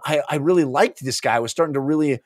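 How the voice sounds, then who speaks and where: A man's voice: a little high, quick pace, a little mellow, no room sound. A man in his forties co-hosting a book club podcast, talking casually and emphatically into a close mic. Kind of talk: casual animated book discussion. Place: small home office, indoors. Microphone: close podcast mic on boom arm.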